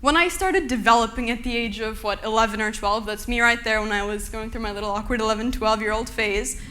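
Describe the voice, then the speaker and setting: Young woman from Turkey, slightly high voice, earnest and measured public speaker. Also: pushed and bright. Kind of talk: prepared public talk.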